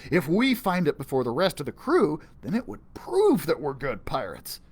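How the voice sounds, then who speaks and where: A man's voice: fairly high pitch, huge pitch swings, normal speed, breathy edge, exaggerated voice. A man voicing an eager, hopeful young pirate boy in a children's storybook. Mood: determined.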